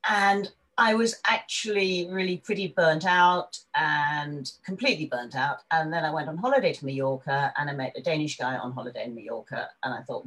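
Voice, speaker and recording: An older woman from England with an English accent, a guest chatting casually and laughing easily as she tells her story over a video call. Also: fairly low pitch. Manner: chatty, laughs easily, frequent restarts, animated when quoting others.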